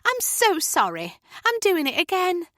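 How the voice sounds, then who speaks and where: A woman's voice: very high-pitched, wildly swooping pitch, bright, forceful tone, no echo, studio quality, pitched above the performer's own voice, exaggerated voice. A woman voicing a chatty, hyperactive middle-aged neighbour with a slight northern English accent, talking over everyone in a storybook reading. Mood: apologetic chatter. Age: 40s-50s. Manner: gushing, rapid-fire, overbearing.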